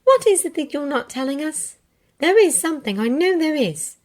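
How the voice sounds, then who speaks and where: A woman voicing an earnest, anxious teenage witch who speaks in a high, pleading and insistent way. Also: big pitch swings, moderate pace.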